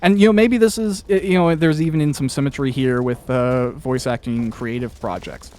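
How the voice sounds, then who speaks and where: A man's voice: mid-range voice, normal speed, darkish tone. A man hosting a casual interview chat, talking in a wordy, hesitant way and often interrupting himself. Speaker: podcast host interviewer.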